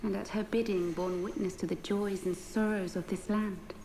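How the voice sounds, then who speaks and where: Echoing, serene, gentle noblewoman played by a woman. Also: medium pitch, steady tone, moderate pace, lightly acted. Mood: serene resolve. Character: gentle, serene, self-sacrificing noblewoman with sacred bearing. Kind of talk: scripted video game voice acting. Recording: echoing voice, faint background noise.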